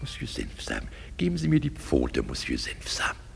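An actor playing a smug, cosy donkey-headed fool in a classic radio comedy, with a drawling, muttering, self-satisfied delivery. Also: male voice, wildly swooping pitch, moderate pace, loud music behind the voice, exaggerated voice. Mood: ticklish, delighted. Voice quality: gravelly, husky, very deep.

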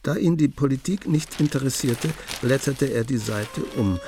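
A man narrating a radio play in a measured storytelling tone. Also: mid-range voice, expressive, dark tone, faint music behind.

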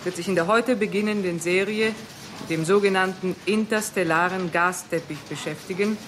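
A woman's voice: lowish voice, normal speed, close to an everyday voice. A woman playing a composed, official news announcer, reading a bulletin formally and precisely in a 1960s radio play. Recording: noisy vintage radio recording.

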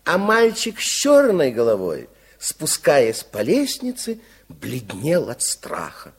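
A man's voice: fairly high pitch, wildly swooping pitch, slow pace, breathy edge, heavily acted. A man narrating a radio fairy tale with theatrical, dramatic storytelling.

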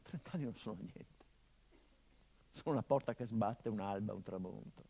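An actor playing a middle-aged son who mourns his murdered father, in a theatrical monologue that swings from hushed murmurs to impassioned outbursts, sardonic and biting. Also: lowish voice, moderate pace, vocal fry, slightly rough. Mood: bitter. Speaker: stage actor.

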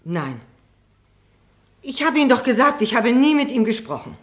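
A woman's voice: fairly high pitch, big pitch swings, moderate pace. An actress playing a guarded, indignant female witness in a German radio courtroom drama, giving evasive, clipped answers. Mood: indignant, defensive. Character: defensive, indignant female witness, a stenotypist, under cross-examination.

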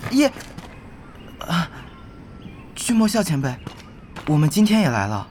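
A woman's voice: lowish voice, sing-song, a little slow, darkish tone, slight background noise. A woman acting an eager, respectful junior team member in an audio drama, speaking deferentially. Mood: respectful, hesitant.

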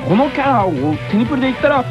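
男性がラジオの司会として、くだけた調子で相手と雑談している。. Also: male voice, extremely high pitch, rapid-fire. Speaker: radio show host. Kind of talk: casual radio chat. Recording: dull radio sound with music behind.

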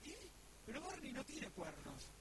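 Man acting as a flustered storyteller, high-pitched, Argentine accent. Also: huge pitch swings, moderate pace, heavily acted. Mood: exasperated. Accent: Rioplatense Argentine Spanish. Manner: easily flustered, insistent. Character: exasperated man telling a made-up space story to a girl. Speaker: storyteller in a comedy sketch. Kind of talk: comedy sketch storytelling. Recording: very noisy amateur recording.

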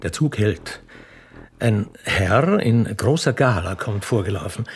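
A man's voice: on the low side, expressive, slow, slight background noise. A man narrating a radio play in a scripted, dramatic style.

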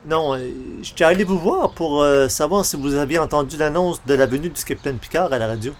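A man's voice: on the high side, brisk, a little hiss or hum, lightly acted. A man playing a polite, mildly sceptical newspaper columnist, a hesitant straight man with a Quebec accent in a radio comedy. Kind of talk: 1940s radio comedy acting.

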